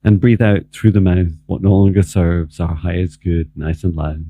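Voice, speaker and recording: Male meditation guide: very deep voice, measured and calm, leaving long pauses, recorded very dry. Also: no room sound. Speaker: spiritual mentor leading a guided meditation. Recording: muffled, phone-like narrow band, dry.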